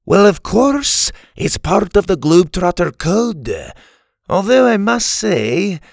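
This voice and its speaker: A man's voice: sits high, sing-song, slow pace, gravelly, dry recording, studio quality, voice pushed up, heavily acted. A man voicing a crotchety, sarcastic old Scotsman in a storybook. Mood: sardonic, dismissive. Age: older. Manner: grumpy, sardonic, emphatic.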